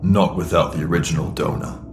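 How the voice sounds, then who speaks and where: Man playing a very deep, solemn alien. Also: fairly even intonation, music in the background, close to an everyday voice. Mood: grave. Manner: terse, measured, solemn. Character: Throg, grave lawful alien Ferran, secretly protective. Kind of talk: sci-fi audio drama acting.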